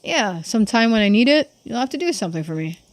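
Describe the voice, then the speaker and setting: A woman's voice: fairly high pitch, very animated, fast. A woman voicing a shrewd, laid-back young man in video game dialogue, speaking matter-of-factly and casually. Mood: casual, mildly put out. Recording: game music behind the voice.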